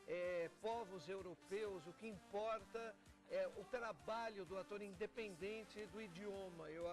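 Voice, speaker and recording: A Brazilian man from a theatre company giving an explanatory TV interview, often pausing and repeating words as he goes. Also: high-pitched. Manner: explanatory, with frequent pauses and repeats. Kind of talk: TV interview talk.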